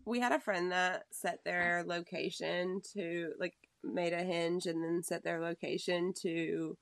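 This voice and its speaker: A woman co-hosting a casual podcast, chatty and quick to laugh. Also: fairly low pitch, steady tone, vocal fry, no room sound. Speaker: podcast co-host.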